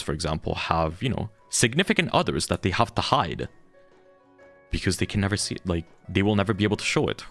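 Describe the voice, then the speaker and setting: A young man with a deep, low voice rants candidly to his viewers. He is blunt and heated and swears freely, with faint music playing behind him. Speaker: VTuber streamer. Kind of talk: candid ranting monologue.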